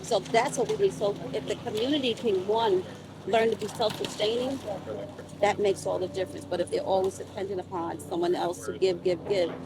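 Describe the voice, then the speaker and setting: A woman's voice: medium pitch, fairly even intonation, a little fast. A woman in her fifties speaking emphatically in a conversational interview as a council member, repeating phrases for stress. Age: 50s. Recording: street noise with people and vehicles. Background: crowd and vehicle noise.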